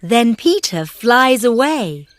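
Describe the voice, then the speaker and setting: A woman narrating a simple children's story in deliberate, carefully spaced phrases. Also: a little high, very animated. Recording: birdsong and nature noise behind.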